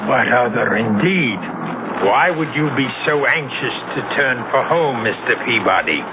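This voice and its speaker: Menacing, slow-spoken sea captain played by a man. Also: mid-range voice, expressive, narrow, tinny audio, lightly acted. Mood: cold suspicion. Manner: commanding, menacing, theatrical archaic speech. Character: fearsome, jealous, murderous old sea captain. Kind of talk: 1970s radio drama acting. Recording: noisy, band-limited 1970s radio broadcast recording.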